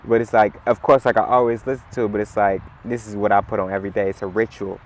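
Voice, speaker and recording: A man, chatting in a relaxed, rambling way as an interview guest, full of "like" and "you know". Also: on the low side, fast talker, low-quality recording. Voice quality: raspy. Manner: laid-back, rambling, heavy on "like" and "you know". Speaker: musician guest. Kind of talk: casual interview chat.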